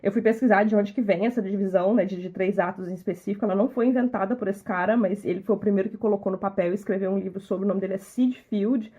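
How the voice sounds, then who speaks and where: A Brazilian woman from Minas Gerais hosts a casual podcast chat. Her voice is mellow and a touch husky. She talks her way through ideas, often restarting on small words. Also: medium pitch, fairly even intonation, quick pace, hardly any pauses. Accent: Brazilian Portuguese. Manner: thoughtful, repeats small words while explaining. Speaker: podcast host.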